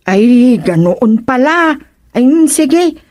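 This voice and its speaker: A woman's voice: a little high, very animated, drawn-out words, a little mellow, dry recording, studio quality, exaggerated voice. A woman playing a hesitant, apologetic villager in a radio drama comedy, speaking politely. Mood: politely resigned.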